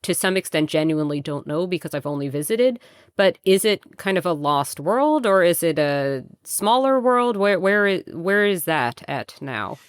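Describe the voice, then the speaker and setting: Low-voiced chatty woman interviewer. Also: lively intonation, normal speed, clear, resonant tone, dry recording, clean studio sound. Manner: chatty, frequent "like" and "you know". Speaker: interviewer, podcast host. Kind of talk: casual conversational interview.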